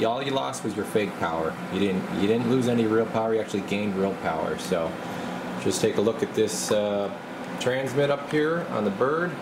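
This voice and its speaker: A man working as a repair technician gives a casual hands-on walkthrough, halting and thinking aloud as he goes. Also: medium pitch, vocal fry, noisy background. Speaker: radio repair technician presenting his work. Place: indoor electronics workbench.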